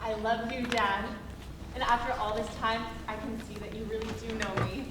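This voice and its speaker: A woman's voice: on the high side, fairly even intonation, normal speed, background noise, natural voice. A woman playing an earnest, pleading grown daughter in a live staged musical reading. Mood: tender. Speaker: musical theatre actor-singer. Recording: echoey stage from far off, music behind.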